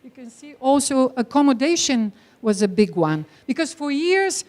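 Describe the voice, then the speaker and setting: A woman's voice: sits high, big pitch swings, normal speed, darkish tone. A Bulgarian woman, a non-native English speaker with a Bulgarian accent, giving an academic conference lecture in an emphatic, engaging way. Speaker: academic speaker, teacher trainer.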